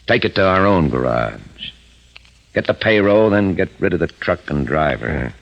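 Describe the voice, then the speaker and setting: Deep-voiced, scheming crime boss. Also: male voice, very animated, moderate pace, vocal fry, exaggerated voice. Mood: confident, commanding. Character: scheming male crime boss, sly and commanding. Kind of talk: 1950s radio drama acting. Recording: muffled vintage 1950s radio recording.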